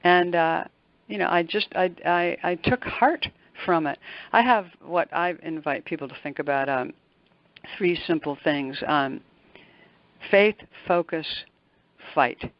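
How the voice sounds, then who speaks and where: A woman's voice: lowish voice, a little slow, no room sound. Older woman, emphatic speaker, dull dry recording. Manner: emphatic, repeats words for emphasis. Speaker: motivational speaker and executive coach, interview guest. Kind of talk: conversational interview answers with anecdotes.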